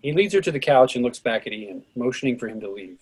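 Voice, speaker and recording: Casting: male stage directions reader, husky medium-pitched voice, scripted narration for a play. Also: lively intonation, quick pace, a little mellow, low-quality recording.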